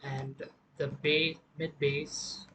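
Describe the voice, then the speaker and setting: A man with a slightly high, monotone voice presents an informal music software tutorial. He explains each step haltingly, as he goes. There is clear background noise in the recording.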